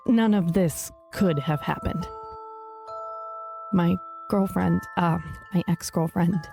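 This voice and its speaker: A woman's voice: lowish voice, takes it slowly, creaky, warm tone, soft background music. An actress playing a troubled woman in a paranormal audio drama trailer. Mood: hesitant, troubled. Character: hesitant, troubled woman searching for missing ex-girlfriend.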